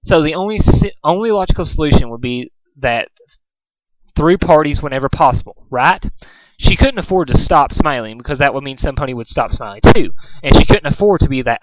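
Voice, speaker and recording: A man's voice: a little high, no room sound, low-quality recording. A man narrating a story aloud as an amateur reader, sometimes stumbling over words and rereading. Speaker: amateur fan fiction reader.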